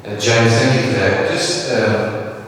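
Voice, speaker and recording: A man's voice: mid-range voice, steady tone, slow, fairly bright tone. A man in the audience hesitantly putting a long question to the speaker at a public meeting. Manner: hesitant, with fillers. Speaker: audience member asking a question. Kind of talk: measured question from the floor. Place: large echoey hall. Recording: distant echoey hall recording, faint room noise.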